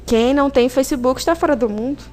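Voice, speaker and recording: A woman with a high voice and a Brazilian Portuguese accent presents a video lesson. She explains in an informal, didactic way, posing questions and answering them herself, with frequent little tag questions to check that listeners follow. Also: fast, a little mellow. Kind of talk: informal recorded lecture.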